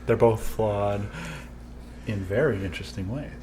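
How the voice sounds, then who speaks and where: A man who is a guest on a casual podcast, speaking quietly with a dry, wry humor. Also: lowish voice, a little slow, slight background noise. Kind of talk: casual chat.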